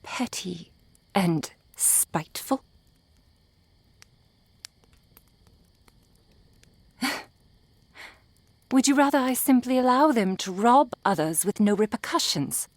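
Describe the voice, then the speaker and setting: A woman acting a composed, refined, cold-hearted merchant in an ASMR roleplay, with formal, precise, measured diction. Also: on the high side, animated, no room sound. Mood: offended, indignant. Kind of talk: ASMR roleplay audio drama.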